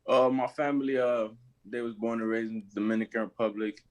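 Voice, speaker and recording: A young college basketball player from Massachusetts answering interview questions in a halting way, filling pauses with "you know" and "uh". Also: male voice, medium pitch, fairly even intonation, a little mellow, dry recording. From Lawrence, Massachusetts. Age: young adult.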